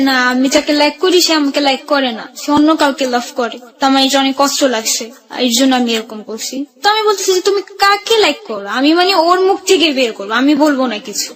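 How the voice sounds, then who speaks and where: A woman with a Bangladeshi accent telling her own story conversationally, re-enacting remembered exchanges as dialogue. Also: high voice.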